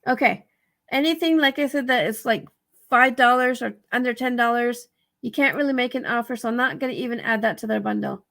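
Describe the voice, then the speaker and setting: Casual female tutorial vlogger, higher register, very creaky and raspy, tired, thinks out loud. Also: a little fast. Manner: rambling, thinking aloud, self-correcting. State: tired, dry-throated. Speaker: vlogger giving reselling tips. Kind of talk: casual screen walkthrough tutorial.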